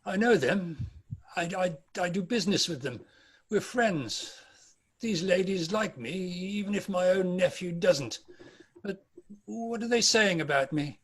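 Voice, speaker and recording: A man acts out an old British miser in a dramatic reading. The voice sits high for a man. He is anguished and repentant, with a theatrical, pleading delivery. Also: animated, measured pace, fairly bright tone, breathy edge, exaggerated voice. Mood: anxious, questioning. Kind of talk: dramatic table read.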